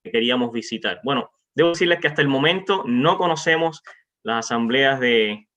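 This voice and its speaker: A man addresses a church congregation informally. His voice is bright and forward, and he halts often between phrases. The sound is dull, like a low-quality online meeting. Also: lively intonation. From Cuba. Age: 40s. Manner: halting, with frequent pauses. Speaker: visiting church preacher. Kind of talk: informal testimony and greeting to a congregation. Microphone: remote online meeting microphone.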